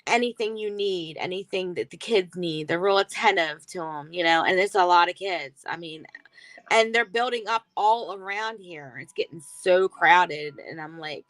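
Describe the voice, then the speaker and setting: A woman from Baltimore, a guest in a casual chat, talking easily and filling her pauses with "you know". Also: lowish voice, fairly bright tone, dry recording. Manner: conversational, fills every pause with "you know".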